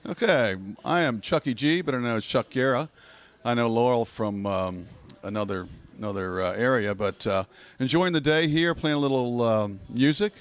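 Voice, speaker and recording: A man's voice: mid-range voice, normal speed. Easygoing DJ guy at a party. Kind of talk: casual remarks to camera. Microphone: handheld mic.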